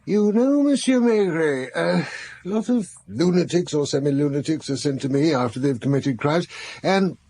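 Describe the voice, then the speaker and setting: An actor playing a learned psychiatry professor in a radio drama, urbane and analytical, musing in articulate, assured phrases. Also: male voice, on the high side, animated, moderate pace, dark tone. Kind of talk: naturalistic radio drama acting.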